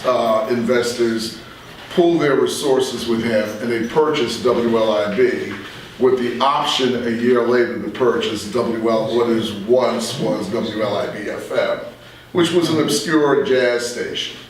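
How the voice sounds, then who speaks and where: An older man telling stories conversationally as an interview guest, in a rambling, unhurried way. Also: mid-range voice. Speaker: interview guest, radio veteran. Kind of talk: conversational reminiscing. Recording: very echoey room, distant microphone.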